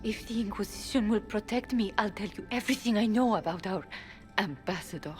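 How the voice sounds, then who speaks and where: A woman's voice: medium pitch, a little fast, a little mellow, soft background music, natural voice. Woman voicing a bitter frightened servant. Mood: pleading. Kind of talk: video game cutscene dialogue.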